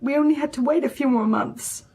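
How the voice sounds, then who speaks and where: An actress playing a grieving young female student in a radio drama, earnest, hurt and guarded, with a breathy voice. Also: sits high, a little fast, a little mellow. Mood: hurt, wistful. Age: young adult. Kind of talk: naturalistic radio drama acting.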